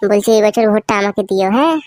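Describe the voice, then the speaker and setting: A woman's voice: mid-range voice, animated, quick pace, lightly acted. A woman voicing a pushy male village politician in a cartoon, wheedling and crude as he begs for votes. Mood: coaxing. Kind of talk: cartoon comedy voice acting. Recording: noisy homemade recording.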